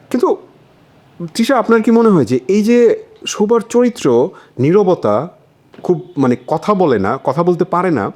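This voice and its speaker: A man's voice: on the high side, darkish tone. A man hosting a literary discussion, speaking in hesitant phrases with repeated words. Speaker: discussion host. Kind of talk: moderating a literary panel discussion.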